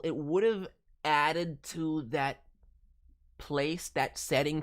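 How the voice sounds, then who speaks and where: Low-voiced woman giving coaching critique. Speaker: voice director reviewing demo reels. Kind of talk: casual spoken critique with advice.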